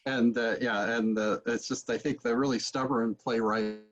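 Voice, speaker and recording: A man's voice: mid-range voice, steady tone, normal speed. A man in his forties hosting a casual interview over a video call from his home, picked up by a computer microphone. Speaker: host, interviewer. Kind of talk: casual moderating talk. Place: book-lined home study, video call. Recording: dull, lo-fi video call audio.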